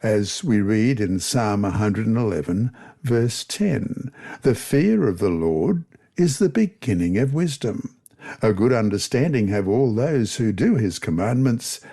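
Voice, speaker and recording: Man, mellow warm tone, lively intonation, measured devotional reading, scripture recitation. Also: lowish voice, husky. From Australia. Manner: measured, expressive reading aloud. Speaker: Bible lesson reader.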